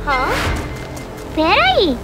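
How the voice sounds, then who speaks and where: A woman with a Brazilian accent voicing an excitable, curious young female unicorn in a cartoon dub, easily frightened. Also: very high voice, drawn-out words, exaggerated voice. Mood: puzzled. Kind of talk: animated cartoon dubbing. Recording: loud music under the voice.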